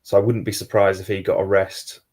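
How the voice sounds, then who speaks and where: A man with a Geordie accent, a guest pundit talking football in a measured, thoughtful way. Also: deep voice, flat delivery, a little mellow. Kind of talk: casual football podcast chat.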